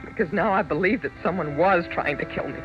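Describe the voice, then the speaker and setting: An actress playing a frightened wife in an old radio drama, earnest and dramatic. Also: female voice, fairly low pitch, brisk, lightly acted. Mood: shaken. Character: frightened wife who believes her husband is trying to kill her. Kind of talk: 1940s-1950s radio drama acting. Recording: dull old-time radio recording with music behind.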